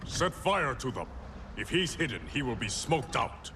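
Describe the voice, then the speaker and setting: An actor playing a noble, stern warrior emperor in a video game, with a formal and regal delivery. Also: male voice, medium pitch, big pitch swings, normal speed, recorded from a distance, background noise. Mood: fierce. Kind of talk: video game cutscene acting.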